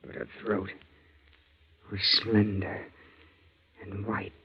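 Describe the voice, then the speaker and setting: Casting: unhinged lonely young man, low husky voice, dreamily menacing, old radio thriller sound. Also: level tone, heavily acted. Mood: brooding menace. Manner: slow, halting, dreamy delivery. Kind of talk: 1940s radio thriller acting. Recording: tinny, muffled 1940s radio recording.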